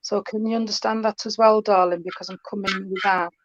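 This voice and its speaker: A woman giving a spiritualist mediumship reading, talking warmly and calling the listener darling and sweetheart. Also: medium pitch, steady tone, fairly quick, dry recording. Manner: affectionate, calls the sitter darling and sweetheart. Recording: dull lo-fi stream with faint noise.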